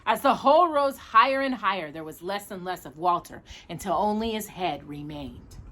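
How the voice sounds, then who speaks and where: A woman reading a children's picture book aloud in expressive storytime narration. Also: medium pitch, moderate pace, slight background noise.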